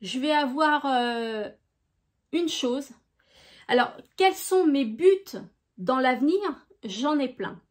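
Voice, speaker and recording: A woman from France hosting her own channel, chatting gently through viewer questions with many small hesitations. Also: sits high, expressive, slow, pauses often. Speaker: YouTube crafts channel host. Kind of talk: casual FAQ video answering viewer questions.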